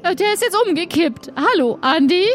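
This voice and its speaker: A woman voicing a small, excitable adventurer who chatters in a stumbling, bubbly way in an improvised audio play. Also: extremely high pitch, moderate pace, soft background music, voice pushed up. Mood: flustered.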